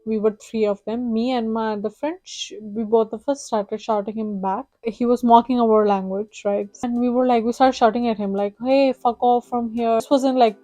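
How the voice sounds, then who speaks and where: Young Hindi-native woman vlogger, higher voice, mellow tone, chatty with fillers. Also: fairly even intonation, moderate pace, few pauses, dry recording, rough recording. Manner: chatty, many "like" fillers, acts out quotes. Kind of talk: casual storytelling to camera.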